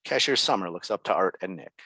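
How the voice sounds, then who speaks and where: A man narrates stage directions from a script. His voice is on the low side. The sound is dry, with little room in it. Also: lively intonation, fast talker, pushed and bright, breathy edge, no room sound.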